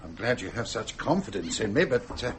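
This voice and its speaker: An actor playing a matter-of-fact police superintendent in a radio drama, speaking politely and formally. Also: male voice, lowish voice, big pitch swings, brisk, a little hiss or hum. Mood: courteous.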